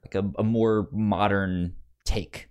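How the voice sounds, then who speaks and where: A man hosting a podcast in casual conversation, halting and stuttering on the first sounds of words, with an enthusiastic manner. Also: fairly low pitch, steady tone, darkish tone, clear, resonant tone. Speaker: podcast review show host.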